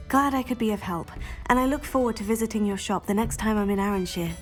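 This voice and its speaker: A woman's voice: mid-range voice, quick pace, faint music behind, close to an everyday voice. A young woman playing a polite, composed teenage witch student with a British accent in a video game. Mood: polite, reassuring. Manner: courteous, composed. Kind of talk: video game character dialogue.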